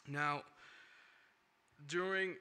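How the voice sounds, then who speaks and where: Bright-voiced young man giving testimony. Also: fairly high pitch, steady tone, very slow, smooth. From India. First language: non-English first language. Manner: earnest, rambling with restarts and "right?" tags. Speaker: church speaker. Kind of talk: personal testimony talk. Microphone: handheld or stand microphone.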